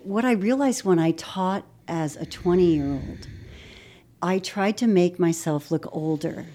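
Warm-toned upbeat woman of sixty. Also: lowish voice, measured pace. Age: 60s. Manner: upbeat, confident, laughs easily. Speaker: yoga instructor and studio owner. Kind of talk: interview reflections.

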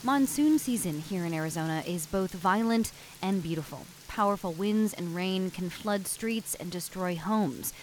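A woman radio reporter narrating a news feature in a measured, reflective way. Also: lowish voice, a little mellow, slight background noise, rough recording.

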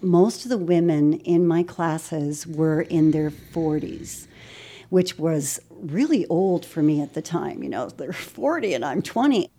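A woman's voice: low-pitched, animated, measured pace, dark tone. A sixty-year-old woman, a yoga instructor and studio owner, speaking upbeat and confident in an interview, laughing easily. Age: 60s. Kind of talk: interview reflections.

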